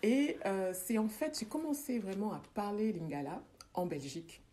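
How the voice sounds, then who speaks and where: A woman's voice: mid-range voice, normal speed, warm tone. A woman from southern France with a French accent, telling her own story as an interview guest, often repeating phrases for emphasis. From born in DR Congo, grew up in southern France. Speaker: interview guest, nurse and ritual practitioner.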